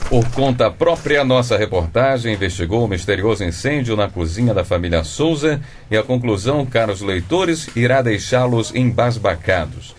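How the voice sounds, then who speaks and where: Man playing a pompous, animated Brazilian father. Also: fairly low pitch, normal speed, few pauses, a little hiss or hum, close to an everyday voice. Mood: mock-dramatic reading. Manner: theatrical, wordy, sarcastic. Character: pompous, sarcastic husband and father, a Portuguese teacher. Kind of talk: comic radio drama acting.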